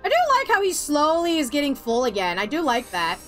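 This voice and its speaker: High, lively ranting woman streamer. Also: fairly quick, faint music behind. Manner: ranting, exasperated, swears. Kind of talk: let's play commentary.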